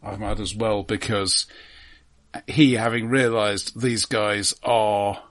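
A man co-hosting a casual film discussion, explaining points in a measured, informative way. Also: fairly low pitch, fairly even intonation, a bit bright. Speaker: podcast co-host reviewing films.

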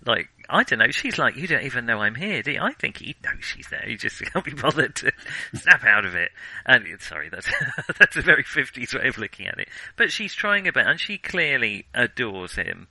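A man's voice: medium pitch, very animated, moderate pace, pushed and bright, no echo, rough recording. A man co-hosting a film podcast in casual discussion, thinking aloud with hesitant self-corrections.

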